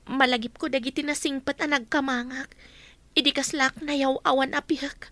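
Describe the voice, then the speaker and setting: A woman's voice: sits high, fairly even intonation, normal speed, sharp tone, no echo. An actress playing a wronged kidnap victim in a radio drama, firm and aggrieved as she seeks justice. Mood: aggrieved, resolute. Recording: faint noisy ambience behind.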